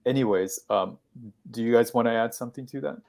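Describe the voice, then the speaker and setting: A man from Peru speaking on a podcast, explaining things in a measured way with pauses and restarts. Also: mid-range voice, vocal fry, a little mellow. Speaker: podcast guest. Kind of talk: conversational podcast talk.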